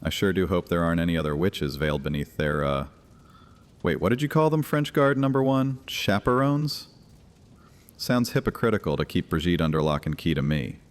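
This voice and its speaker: Man playing a very deep, mocking vampire high priest. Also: lively intonation, normal speed. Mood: scornful. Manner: theatrical, sardonic, taunting, with casual asides. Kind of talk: dramatic horror audio series acting. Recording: long cavernous reverb.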